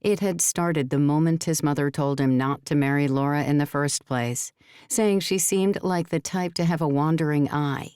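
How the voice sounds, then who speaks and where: Low-voiced woman, scripted audiobook narration, dry close sound. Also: moderate pace, a little mellow, smooth, no echo.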